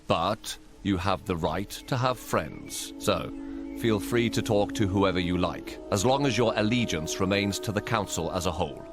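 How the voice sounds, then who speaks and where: An actor playing a courteous, steady officer in a fantasy video game, speaking in a measured, matter-of-fact way. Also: male voice, low-pitched, natural voice. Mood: measured advice. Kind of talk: video game cutscene acting. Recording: game music under the voice.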